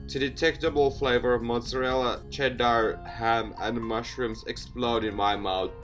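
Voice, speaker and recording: A man's voice: medium pitch, fairly even intonation, a little slow, a bit bright, faint music behind. A man doing a let's play, reading a visual novel aloud in a theatrical, jokey way and interjecting his own comments. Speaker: video game let's player.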